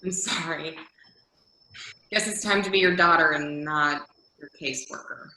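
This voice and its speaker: A woman's voice: low-pitched, unhurried, pushed and bright. A woman playing a middle-aged, world-weary caseworker who is guarded but caring, in a readers theater scene. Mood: weary, bitter. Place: indoor room on a video call. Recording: echoey room on video call.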